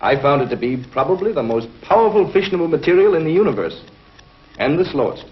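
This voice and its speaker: A man playing a grave, learned space scientist in 1950s television adventure style, measured and authoritative. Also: fairly high pitch, sing-song. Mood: grave, thoughtful. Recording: dull, noisy 1950s TV film soundtrack.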